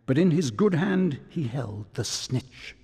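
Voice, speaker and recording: A man narrating a storybook-style fantasy tale for a video game in a scripted, measured manner. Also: fairly high pitch, lively intonation, warm tone, husky, full character voice. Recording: long hall reverb.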